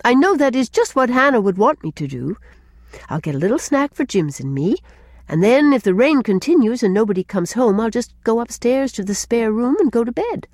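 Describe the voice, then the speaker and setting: Young woman, played by a female narrator, plucky, chatty, animated pitch, dry close recording, storybook dialogue. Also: a little fast, no echo. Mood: resolute. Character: young woman, sensible, plucky, chatty, flustered when cornered. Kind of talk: audiobook character dialogue.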